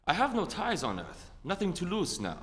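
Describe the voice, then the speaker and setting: A man playing an uneasy, fatalistic, philosophical space scientist, measured and wry, in a staged old-time radio drama. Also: high voice, wildly swooping pitch, fairly bright tone, slight background noise, heavily acted. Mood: wry, detached. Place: theatre stage in live performance. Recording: hall reverb, stage recording.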